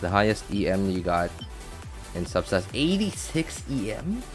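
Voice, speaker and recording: Low-voiced man streaming a game. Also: sing-song, measured pace, music in the background. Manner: chatty, reacts aloud, thinks out loud. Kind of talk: casual live gameplay commentary.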